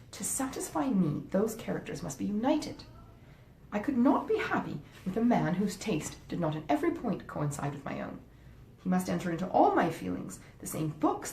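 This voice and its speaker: A woman voicing a romantic, earnest teenage girl from a Regency novel, with emphatic, drawn-out delivery. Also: darkish tone. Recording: roomy echo, faint rumbling noise.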